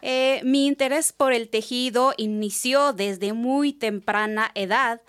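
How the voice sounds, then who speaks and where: Slow-paced woman. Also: a little high, fairly bright tone. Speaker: guest, textile artisan. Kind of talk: formal prepared answers, read-like.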